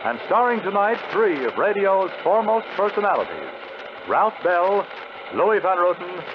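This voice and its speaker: A muffled, noisy old radio recording of a male announcer. He is formal and dramatic in 1950s style, with a fairly high voice that rises and falls boldly. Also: very animated, moderate pace.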